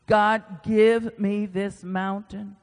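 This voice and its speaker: A man's voice: high-pitched, level tone, drawn-out words, gravelly, smooth, soft background music. A man preaching an informal sermon, conversational and folksy, repeating phrases for emphasis and moving into scripture reading. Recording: reverberant hall.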